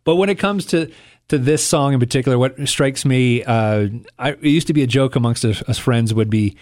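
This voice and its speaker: A man's voice: mid-range voice, moderate pace. A man from Canada co-hosting a music podcast, rambling and restarting as he tells stories. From Alberta, Canada. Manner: rambling, repeats words, animated. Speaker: podcast co-host. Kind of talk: casual music chat.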